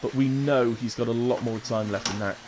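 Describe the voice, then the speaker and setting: A man calls a sim race in a brisk, chatty play-by-play, his chest rough with a lingering cough. Engine noise and game sounds run clearly under his voice. He speaks at a middle pitch. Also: steady tone. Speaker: race commentator. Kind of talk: live sim racing commentary. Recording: noisy stream mic with car sounds.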